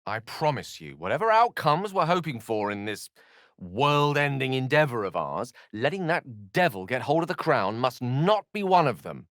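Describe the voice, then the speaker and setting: An actor playing a bookish, witty and earnest human wizard in a video game, with erudite, theatrical phrasing and a touch of melancholy. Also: male voice, mid-range voice, big pitch swings, normal speed, fairly bright tone, no room sound, studio quality. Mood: expressive. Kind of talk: video game character voice lines.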